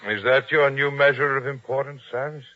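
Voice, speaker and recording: An actor playing a humble, anxious but principled innkeeper in old-time radio drama style, earnest and formal. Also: male voice, mid-range voice, level tone, a little fast, no room sound, lightly acted. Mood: saddened, reproachful. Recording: dull, lo-fi old radio sound.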